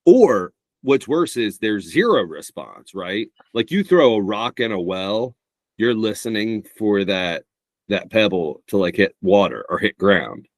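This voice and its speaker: A middle-aged man with a low voice trades sarcastic, animated banter on a podcast. He swears freely, and the recording is dry and close. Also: a little slow, no echo. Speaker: podcast co-host, comedian. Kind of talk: casual chat.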